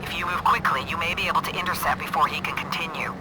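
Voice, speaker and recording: An actor playing a formal military officer giving a clipped, businesslike intelligence briefing in a video game. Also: male voice, on the high side, fairly even intonation, quick pace, heavy background noise, close to an everyday voice. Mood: urgent, businesslike. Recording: music under the voice.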